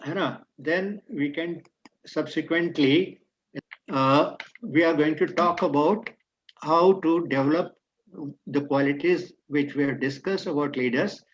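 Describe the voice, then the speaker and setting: A man's voice: a little high, a little slow, muffled recording. A man whose first language is Hindi, speaking Indian English and mixing in Hindi, giving a motivational talk on leadership that states maxims and then explains them. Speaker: guest speaker.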